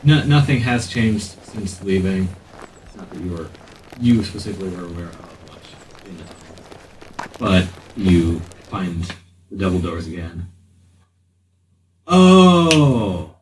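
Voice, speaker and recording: Low-voiced man running a tabletop game, roomy sound. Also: lively intonation, takes it slowly, pauses often. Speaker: game master. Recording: roomy, distant mic with faint noise.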